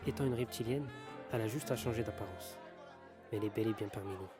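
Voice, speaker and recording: An actor playing an earnest, insistent conspiracy theorist stranger in a bar, in an audio drama. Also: male voice, fairly low pitch, fast talker, lightly acted. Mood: grave, convinced. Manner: earnest, urgent explaining. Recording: music and bar chatter behind.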